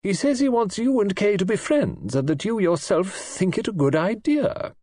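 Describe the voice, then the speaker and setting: A man's voice: high voice, very animated, normal speed, mellow, no echo, studio quality, pitched above the performer's own voice. A man reading an audiobook, voicing a robust, imperious elderly aristocratic woman who talks in a forthright, snappish way. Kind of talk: audiobook character voice.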